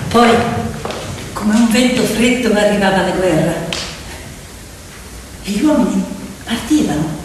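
A woman telling a story theatrically, with expressive, dramatic, deliberate phrasing. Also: medium pitch, far from the mic. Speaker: stage storyteller and actress. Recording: echoey hall from afar, faint noise.

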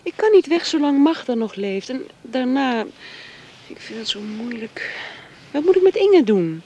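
A woman's voice: sits high, very animated, a little slow, muffled recording, full character voice. A torn, devout young woman. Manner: soft, hesitant.

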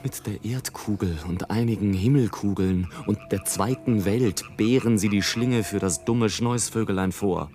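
An actor playing a mocking, indignant balloonist who declaims and mutters theatrical asides in a radio play. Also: male voice, fairly low pitch, normal speed, few pauses, dark tone, lightly acted. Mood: mocking, hushed. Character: sardonic, indignant male balloonist watching people from above. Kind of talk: German radio play, literary monologue. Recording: music under the voice.

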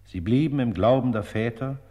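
A man's voice: medium pitch, normal speed, dark tone, natural voice. An actor playing a solemn male preacher delivering a lofty, oratorical sermon in a radio play. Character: solemn male preacher praising a saint from the pulpit. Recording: long church-like reverb.

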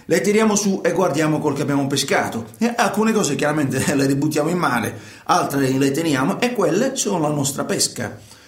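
An actor playing a troubled working fisherman in a colloquial, halting radio monologue, repeating himself. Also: a little high, animated, reverberant, close to an everyday voice. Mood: matter-of-fact, conversational. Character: troubled working fisherman recounting life at sea. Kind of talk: theatrical radio monologue in conversational storytelling.

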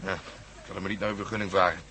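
An actor playing a brisk, decisive policeman leading a rescue in a radio play. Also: low voice, sing-song, gravelly, bright, forceful tone, slight background noise, exaggerated voice. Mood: commanding.

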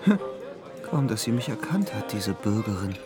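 A young provincial dreamer in a radio drama, brooding and wrapped up in himself. His voice is fairly low and moves widely in pitch, with theatrical turns. Music plays prominently behind him. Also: big pitch swings, normal speed. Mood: brooding, disappointed. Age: young adult. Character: dreamy, self-absorbed young provincial man in Paris. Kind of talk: German radio drama acting.

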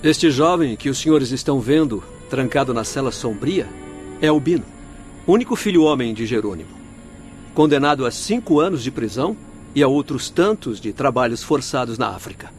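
Man playing a composed doctor, medium pitch. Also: lively intonation, moderate pace, darkish tone, faint music behind, close to an everyday voice. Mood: grave. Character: composed doctor gravely explaining a case. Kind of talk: Brazilian radio drama acting.

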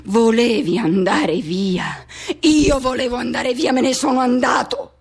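An anguished soul haunted by a lost love, in a radio drama monologue. The voice sits fairly high. The delivery is intense and halting, built on short broken phrases that circle back and repeat. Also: female voice, breathy edge. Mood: accusing, hurt. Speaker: actress performing a monologue.

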